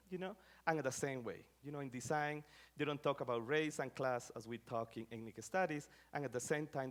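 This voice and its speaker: A middle-aged man from Rica, giving an academic talk in a conversational way with many fillers and phrasing. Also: medium pitch. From Costa Rica. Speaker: scholar giving a lecture.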